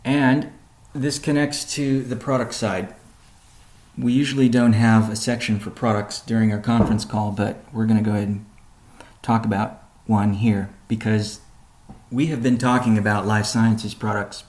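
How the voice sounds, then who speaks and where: A man presenting a company update on a conference call, explaining in a measured way with deliberate pauses. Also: lowish voice, level tone, a little mellow.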